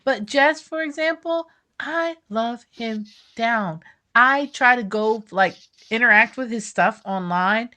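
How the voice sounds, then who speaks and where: A woman co-hosting a podcast in casual chat, playful and animated, acting out what others say. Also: on the high side, takes it slowly. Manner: animated, playful, acts out quotes. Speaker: podcast co-host.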